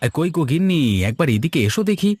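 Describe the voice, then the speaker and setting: A man's voice: fairly high pitch, animated, quick pace, a little mellow, husky, dry recording. A man voicing a good-natured village father and husband in a cartoon, with a colloquial, placating manner. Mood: calling. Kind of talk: Bengali cartoon story dubbing.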